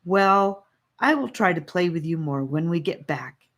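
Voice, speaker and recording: A woman voicing a feisty, bossy but caring young girl in an audiobook, with an emphatic, expressive delivery. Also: fairly low pitch, a little slow. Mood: earnest. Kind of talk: audiobook character voice.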